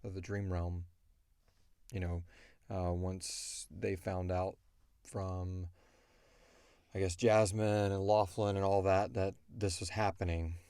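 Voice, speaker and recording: A man with a fairly low voice hosts a casual podcast. He talks in a rambling, explanatory way, and the recording is dry with little room sound. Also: level tone, slow, pauses often, warm tone, clear, resonant tone, no room sound. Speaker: podcast host and show creator answering listener questions.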